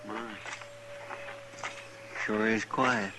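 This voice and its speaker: An actor playing a simple, eager frontier deputy with a rural American Western drawl, deferential and plain-spoken in an old-time radio drama. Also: male voice, fairly low pitch, fairly even intonation, extremely slow. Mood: hushed, sorrowful. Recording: noisy vintage radio drama with effects.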